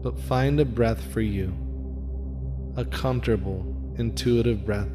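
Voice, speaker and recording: A man leading a guided meditation in a soft, soothing voice with a slow, repetitive cadence. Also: lowish voice. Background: faint music with gong.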